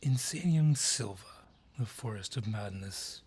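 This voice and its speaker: A man voicing an ancient, gruff dryad forest guardian in a fantasy audio drama, husky, brusque and ominous in delivery. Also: lowish voice, animated, a little mellow, exaggerated voice. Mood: ominous, wry. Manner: deliberate, brusque, ominous storyteller. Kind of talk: fantasy audio roleplay acting.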